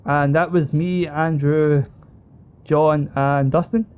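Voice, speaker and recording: Young British male, slightly high voice, casual storyteller with enthusiastic informal phrasing, dull lo-fi call audio. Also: steady tone, slow pace, smooth. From United Kingdom. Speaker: esports organisation co-owner. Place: online group call.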